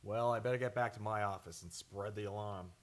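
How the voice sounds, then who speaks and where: A man's voice: fairly low pitch, fairly even intonation, a little fast, natural voice. A man acting in an old-time radio drama as a brusque, suspicious sheriff with probing questions. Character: blunt, suspicious sheriff investigating a murder.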